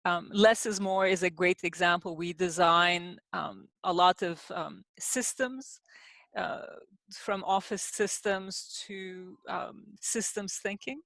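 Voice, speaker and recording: A woman, an industrial designer answering as a podcast guest, explaining her work in a measured, thoughtful way with pauses. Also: fairly low pitch, a bit bright, no room sound. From Turkey. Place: home in the city, sheltering in place.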